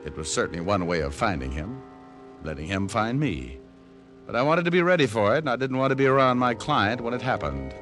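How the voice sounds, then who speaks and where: An American actor playing a cool-headed, wisecracking private detective in an old-time radio crime drama, dry and unruffled. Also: male voice, medium pitch, sing-song, fairly quick, over music, lightly acted. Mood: steady, wry. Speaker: actor playing the lead and narrator. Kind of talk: 1940s-50s radio detective acting and narration.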